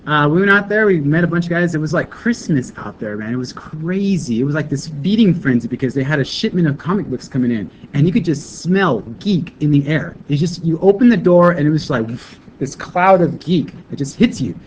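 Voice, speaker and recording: A man co-hosting a casual chat show, joking and excitable, who laughs often. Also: fairly high pitch, fairly quick. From California. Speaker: co-host. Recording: dull lo-fi live broadcast with faint background noise.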